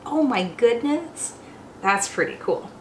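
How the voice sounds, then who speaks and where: A young woman hosting storytime as a teacher, reading aloud to small children in an expressive, exclamatory way. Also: fairly high pitch, takes it slowly. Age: young adult. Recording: roomy echo, faint background noise.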